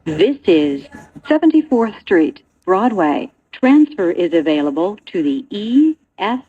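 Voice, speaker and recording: A woman reading scripted train announcements in a measured, segmented way. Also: mid-range voice, big pitch swings, slightly rough, highs cut off.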